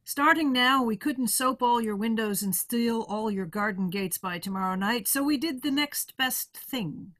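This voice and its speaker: A woman's voice: fairly high pitch, unhurried, hardly any pauses, fairly bright tone. A woman playing a wry showman host, giving a playful, formal sign-off to radio listeners. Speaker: actor delivering radio play's closing address.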